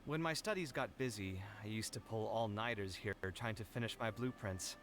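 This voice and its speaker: An actor playing a friendly, wistful young architect in a video game, speaking in an articulate, theatrical way. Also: male voice, lowish voice, normal speed, a bit bright, slight background noise, lightly acted. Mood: nostalgic. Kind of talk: video game character dialogue.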